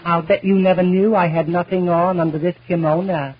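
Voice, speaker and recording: A man's voice: sits high, steady tone, fairly quick. A man voicing a prim, polite young woman in an old comedy-record sketch. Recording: muffled vintage 78rpm record.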